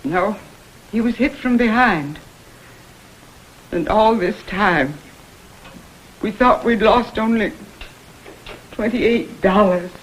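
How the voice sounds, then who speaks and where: An actress playing a frightened, fragile woman who is the victim in a vintage TV crime drama, speaking in a halting, distressed way. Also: mid-range voice, a little hiss or hum, natural voice, rough recording. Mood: shaken, distressed. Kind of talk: vintage American TV drama acting. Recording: vintage TV soundtrack, faint music.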